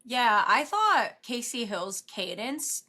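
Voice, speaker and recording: A woman's voice: fairly high pitch, sing-song, slow pace, sharp tone. A woman co-host chatting casually about music in a gushing, playful way. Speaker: podcast co-host. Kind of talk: casual music review chat.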